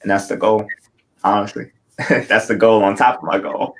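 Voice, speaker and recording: Earnest young man with a low voice. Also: fairly even intonation, normal speed, vocal fry. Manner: earnest, rambling, filler-heavy. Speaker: interview host. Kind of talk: casual interview.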